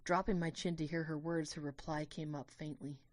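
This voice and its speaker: A woman's voice: low-pitched, fairly even intonation, normal speed, no echo. A woman reading scripted first-person story narration as the narrator of an audio drama.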